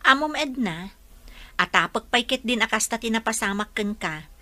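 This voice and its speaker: A woman's voice: fairly high pitch, animated, normal speed, bright, forceful tone. An actress with an Ilocano accent playing a caring, worried mother in a radio drama, coaxing and reassuring. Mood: concerned, reassuring. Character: caring, worried mother counselling her grown daughter.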